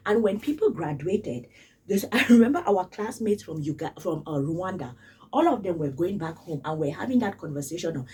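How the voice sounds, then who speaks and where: A woman's voice: on the low side, very animated, normal speed, dark tone, slightly rough, recorded from a distance. A woman from Cameroon, giving casual spoken commentary in an emphatic way and repeating phrases for stress. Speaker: vlogger.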